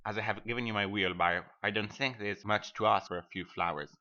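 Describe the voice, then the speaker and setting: A man's voice: on the low side, fairly even intonation, quick pace, bright, forceful tone. A man playing a selfish, sanctimonious miller in a storybook reading, lecturing in a self-righteous manner. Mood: smugly reproachful. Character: selfish, sanctimonious male miller, smugly preaching friendship. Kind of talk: scripted storybook dialogue. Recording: dull, band-limited audio.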